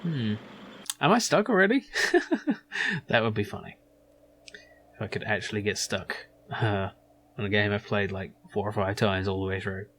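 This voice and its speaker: A man's voice: lowish voice, expressive, normal speed, pauses often, a bit bright, a little hiss or hum. A man giving casual, rambling let's play commentary as a gaming channel host, musing hesitantly as he goes.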